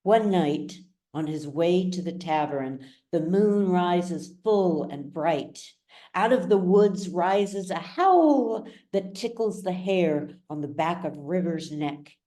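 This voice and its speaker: Low-voiced woman narrating a story, unhurried. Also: expressive, dark tone. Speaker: author reading their own novel. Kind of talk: scripted audiobook narration.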